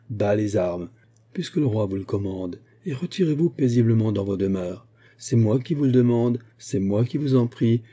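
Man playing a solemn, commanding bishop, dark-voiced. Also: mid-range voice, close to an everyday voice. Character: Aramis, shrewd solemn bishop and former musketeer.

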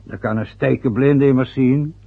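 A man's voice: mid-range voice, steady tone, normal speed, vocal fry, husky. An actor in a radio play voicing a domineering, sarcastic middle-aged father who mocks and lords it over his family. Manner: mocking, overbearing, sarcastic. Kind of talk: radio drama acting. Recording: dull lo-fi radio recording.

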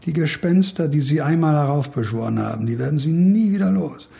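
Older sick professor in a radio play, gravelly, very animated, rambling and brooding. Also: male voice, a little high. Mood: insistent. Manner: rambling, emphatic monologuing with musing pauses. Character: ailing older professor, brooding, cantankerous, philosophizing. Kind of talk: radio drama dialogue.

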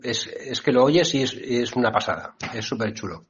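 An eager, husky-voiced fan who gushes and stumbles over his words, as a man puts him on. It sounds dull and distant, like a street vox pop. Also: medium pitch, expressive, voice pushed down. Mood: gushing. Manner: gushing, halting.

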